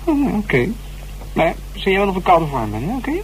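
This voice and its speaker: Cheeky, higher-voiced young man. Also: wildly swooping pitch, fairly quick, poor audio. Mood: insistent. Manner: teasing, colloquial, persistent. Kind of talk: radio drama acting. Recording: faint noise in old radio recording.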